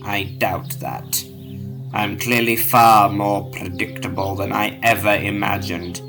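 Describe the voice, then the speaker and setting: Grave alien time traveller in an audio drama, lowish voice, takes his time, commanding, music underneath. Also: little pitch movement, gravelly. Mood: bitter, accusing. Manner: measured, authoritative, clipped orders.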